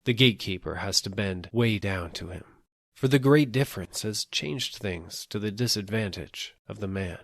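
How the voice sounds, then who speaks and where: A man with a low voice reads literary fiction as an audiobook narrator. His delivery is measured and deliberate, moving phrase by phrase. The recording is dry, with hardly any room sound. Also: a bit bright, no room sound.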